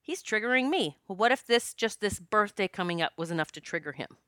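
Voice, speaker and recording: Chatty woman in her fifties, crisp. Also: medium pitch, lively intonation, fairly quick, bright, forceful tone, no echo, clean studio sound. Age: 50s. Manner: chatty, joking, storytelling with quoted dialogue. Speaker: past life regression practitioner. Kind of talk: casual spiritual monologue.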